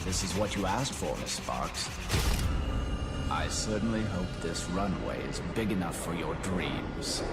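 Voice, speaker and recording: An actor playing a suave, menacing wish-granting villain with a formal, theatrical manner in a video game. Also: male voice, low-pitched, expressive, unhurried. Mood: smug menace. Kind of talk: cinematic video game acting. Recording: loud music behind the voice. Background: loud cinematic music.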